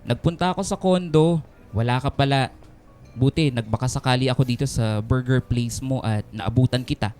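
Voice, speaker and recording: Guy doing insecure sweet boyfriend in a radio drama, warm mellow mid voice, kinda hesitant. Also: mid-range voice, normal speed, lightly acted. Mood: eager, concerned. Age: young adult. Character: insecure, devoted young boyfriend, a nurse. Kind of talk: Filipino radio drama dialogue. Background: background music.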